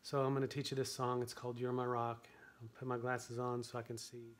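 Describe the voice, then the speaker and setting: An older man with a hoarse, weakened voice, talking earnestly and quietly to the camera about a worship song he wrote. He is seated at home at a piano, speaking into a stand microphone. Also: mid-range voice, little pitch movement, a little fast. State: hoarse, voice weakened after surgery. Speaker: worship songwriter teaching a song. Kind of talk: earnest spoken talk to camera. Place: home room with a piano, indoors. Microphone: stand microphone in front of him.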